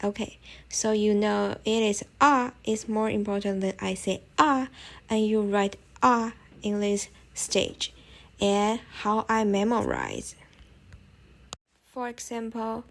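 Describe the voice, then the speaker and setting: A young woman whose first language is Chinese talks through study tips in a scripted voice-over. Her voice sits a little high, and her English phrasing is careful and slightly non-native. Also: creaky. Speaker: language-learning vlogger.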